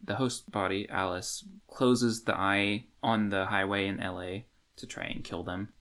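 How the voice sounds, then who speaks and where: A man co-hosting a podcast, talking casually and haltingly in a book discussion. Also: fairly low pitch, steady tone, fairly bright tone. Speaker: podcast co-host.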